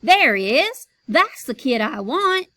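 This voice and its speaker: A woman reading aloud, voicing a loud, bossy, cigar-chomping male company president who shouts his demands. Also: high voice, big pitch swings, takes it slowly, no room sound, pitched above the performer's own voice, full character voice. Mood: excited.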